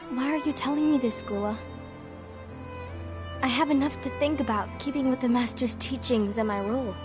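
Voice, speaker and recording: A dutiful young heroine from a dramatic video game, played by a woman. Her high voice is gentle and earnest, worried yet firm, with music playing clearly behind it. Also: normal speed, natural voice. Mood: puzzled, concerned.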